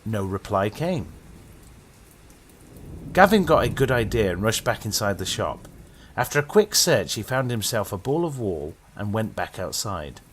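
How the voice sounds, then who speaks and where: A man's voice: very animated, measured pace, a little hiss or hum. A British man narrating a horror story in a dramatic storytelling manner. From Britain. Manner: dramatic storytelling with sweeping pitch.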